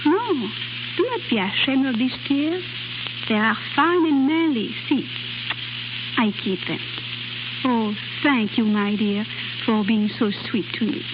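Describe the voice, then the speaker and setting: French cabaret singer girl, romantic and dramatic, high voice, muffled noisy old 1930s radio. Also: animated, measured pace, long pauses. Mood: tender, romantic. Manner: theatrical, foreign-tinged phrasing. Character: passionate, dramatic young French nightclub singer in love.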